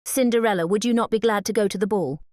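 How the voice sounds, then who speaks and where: A woman's voice: mid-range voice, fast talker, a little mellow, no room sound, clean studio sound, voice pushed up, natural voice. A British woman voicing a haughty, mocking young eldest stepsister in a storybook audiobook, snooty and taunting. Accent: British English.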